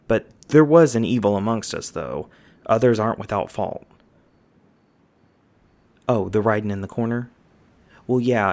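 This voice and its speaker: A man's voice: fairly low pitch, vocal fry. A man playing an easygoing, folksy magi guide, explaining patiently in an ASMR roleplay. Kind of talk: ASMR roleplay, conversational storytelling.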